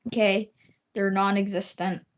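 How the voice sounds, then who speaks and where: A woman's voice: mid-range voice, little pitch movement, measured pace. Woman streamer from the Philippines, Bisaya speaker, loose rambling chat full of fillers and casual swearing. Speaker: livestreamer.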